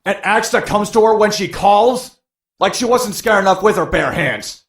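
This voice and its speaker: A man's voice: high-pitched, animated, normal speed, fairly bright tone, roomy sound. An actor playing an alarmed henchman in a motion-comic fight.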